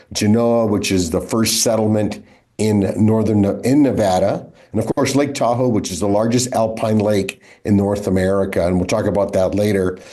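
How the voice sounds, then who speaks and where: A man presenting his destination to travel advisors in a steady, informative way, with frequent fillers. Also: on the low side. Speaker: destination representative. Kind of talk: promotional panel presentation.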